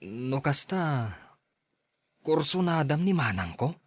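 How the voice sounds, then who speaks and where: A man's voice: fairly high pitch, very animated, slow pace, no room sound, clean studio sound, exaggerated voice. Man acting a meek, earnest young suitor. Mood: respectful. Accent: Ilocano. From Philippines. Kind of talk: Ilocano radio drama acting.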